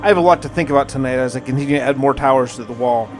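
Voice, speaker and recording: A man's voice: mid-range voice, very fast, soft background music. A man hosting a gaming video, narrating his play casually in the first person with wry, conversational musing.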